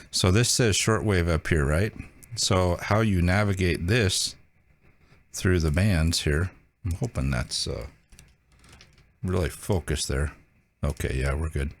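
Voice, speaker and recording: A man hosting a casual hands-on tutorial, talking informally and haltingly as he thinks aloud. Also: low voice, dry recording. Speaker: video host demonstrating a radio.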